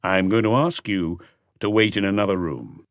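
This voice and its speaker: A man voicing a calm police inspector in an audiobook, questioning in a measured, quietly firm and probing way. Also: on the low side, no echo, clean studio sound, natural voice.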